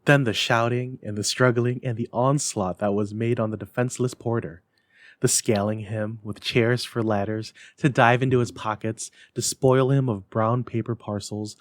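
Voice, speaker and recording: A man reads as a storyteller in a dramatic, scripted style. His voice sits at a medium pitch and his delivery is expressive and emphatic. The recording is dry. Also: no room sound. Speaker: library staff story reader.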